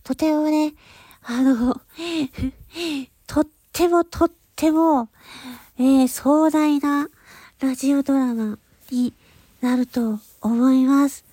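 Woman host, very mellow tone, high voice, dry close sound, slow hesitant phrasing, casual announcement. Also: no room sound. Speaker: channel host and voice actor.

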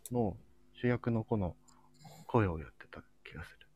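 A man hosting a casual live audio chat in a soft-spoken, hesitant way, with a breathy, husky voice. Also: on the low side, moderate pace, dry recording, dull audio. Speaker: live show host.

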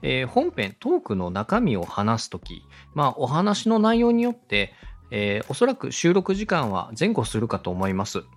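A man's voice: mid-range voice, huge pitch swings, moderate pace, few pauses. A man giving a polite, step-by-step explanatory talk as a podcast host and instructor. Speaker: podcast host and radio director teaching podcast making.

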